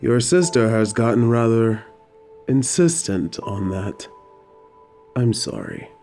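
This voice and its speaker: A doting, apologetic tanner from olden days acted by a man, speaking slowly in a dark, mellow voice. He soothes tenderly in old-fashioned phrases, as in a romantic audio drama, with faint music behind. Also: mid-range voice. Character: gentle, apologetic old-time male leather tanner, doting caretaker.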